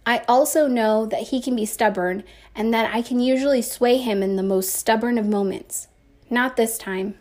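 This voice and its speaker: A woman with a somewhat high voice narrates a first-person story. She reads expressively, like a teacher, with deliberate emphasis on key phrases. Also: steady tone, darkish tone. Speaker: teacher reading a book aloud.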